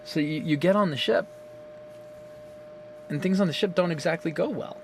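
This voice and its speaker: Animated, swearing man recapping a game. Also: fairly high pitch, normal speed, slight background noise. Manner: animated, profane, laughs often. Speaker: gaming video creator. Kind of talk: casual rambling recap of a game story. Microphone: phone camera.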